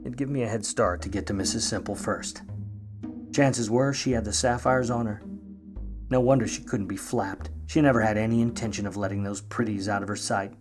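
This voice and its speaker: An actor playing a wry, wisecracking private eye in a 1950s noir radio play, narrating and trading slangy lines. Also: male voice, on the low side, fairly even intonation, fairly quick, music in the background, lightly acted. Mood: wry, brooding. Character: wry, grudging hardboiled male private eye.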